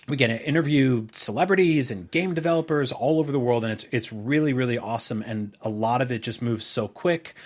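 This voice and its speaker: A middle-aged man with a medium-pitched voice talks as a podcast co-host. He is casual and rambling, feeling his way through sentences with halting starts and vivid comparisons. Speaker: podcast co-host, video host and writer. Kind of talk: casual conversational podcast chat.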